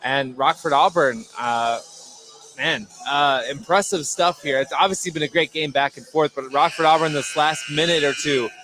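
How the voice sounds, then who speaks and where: A man giving conversational live color commentary on a basketball game in a halting, hesitant way that repeats words. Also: fairly high pitch, low-quality recording. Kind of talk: conversational live sports analysis. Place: indoor gymnasium during a game.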